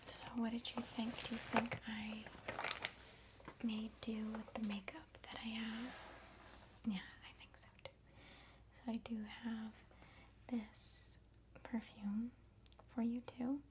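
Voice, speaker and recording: A woman in her twenties doing an ASMR role-play as a friend, soft, unhurried, chatty and reassuring. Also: a little high, flat delivery, heavy background noise. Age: 20s. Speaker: ASMR creator playing a friend doing the listener's makeup.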